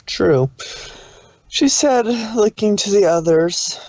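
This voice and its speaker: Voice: mid-range voice, expressive, takes it slowly, a little mellow, dry recording. A narrator reading a scripted fan fiction story aloud, stumbling now and then. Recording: dull, muffled dry audio.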